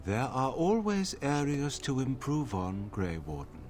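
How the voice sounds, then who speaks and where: An actor playing a dignified senior mage in a video game, speaking in a measured, formal way. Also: male voice, medium pitch, huge pitch swings, darkish tone. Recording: game audio with faint noise.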